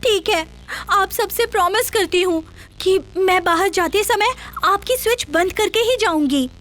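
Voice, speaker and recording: A woman's voice: very high-pitched, measured pace, a bit bright, voice pushed up. A woman voicing an innocent, polite little girl in a children's cartoon, with a childlike, plaintive delivery. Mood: promising.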